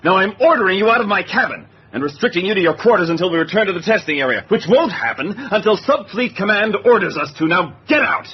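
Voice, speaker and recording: Man acting a gruff, animated submarine captain. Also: high voice, fast talker, hardly any pauses. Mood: angry, defiant. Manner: authoritative, blunt. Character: gruff, commanding, defiant male submarine captain. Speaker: actor in a radio drama. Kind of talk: old-time radio drama acting. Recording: dull lo-fi radio drama, music behind.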